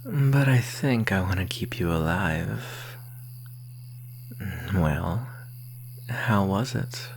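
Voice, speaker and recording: A woman acts out a thirsty vampire in an ASMR roleplay, whispering in a low, breathy voice. The delivery is extremely slow, teasing and intimate, with menace under the flirtation. It is recorded close to the mic over a faint hiss. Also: exaggerated voice. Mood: soft, satisfied. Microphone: close microphone. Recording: faint steady hiss and hum.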